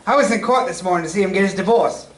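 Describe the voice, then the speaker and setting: A man playing a cheeky, childlike comic sidekick in an old-time radio comedy routine, animated and joking toward each punchline. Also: sits high, very fast, close to an everyday voice. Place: stage reader's theatre performance. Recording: echoey stage, distant microphone.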